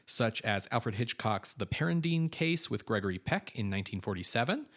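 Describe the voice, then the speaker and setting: A man's voice: mid-range voice, animated, normal speed, a bit bright. A man co-hosting a film podcast, reading background notes in an informative, casual way with frequent fillers. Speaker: podcast co-host.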